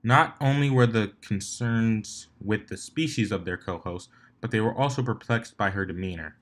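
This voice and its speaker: A man with a fairly low voice reads a story aloud. He delivers it as scripted narration, in the role of a fan fiction reader. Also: moderate pace.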